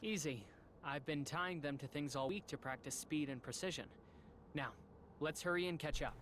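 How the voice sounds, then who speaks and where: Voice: mid-range voice, a bit bright, slight background noise, natural voice. An actor playing a polite, reserved young boy student of magic, speaking formally and dryly in an anime-style game. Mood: composed. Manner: formal, measured, dry.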